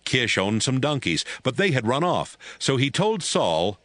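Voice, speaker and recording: A man narrating scripture from a script in a measured storytelling manner. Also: mid-range voice, sing-song, a bit bright, no room sound.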